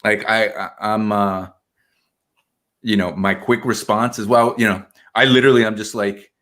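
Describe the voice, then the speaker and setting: Man, 30s, from Argentina. Podcast guest. Lowish voice, easygoing storyteller, casual fillers throughout. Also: moderate pace. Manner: relaxed storytelling, many fillers like "man" and "you know". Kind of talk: casual conversational interview.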